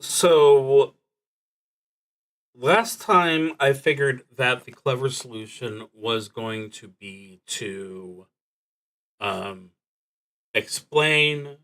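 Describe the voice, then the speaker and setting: A man streaming a solo tabletop roleplaying game, thinking aloud in a halting, deliberate way that trails off between thoughts. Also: mid-range voice, a bit bright, rough recording.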